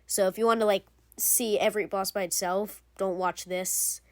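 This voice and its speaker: A woman's voice: mid-range voice, steady tone, moderate pace, no room sound. A young woman hosting a gaming channel, giving casual, rambling game commentary full of fillers and restarts.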